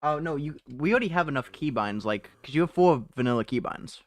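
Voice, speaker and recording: A man's voice: a little high, expressive, a little fast, no echo. A young man chatting casually on a live stream from home, rambling, laughing often and restarting his sentences. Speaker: streamer and mod developer.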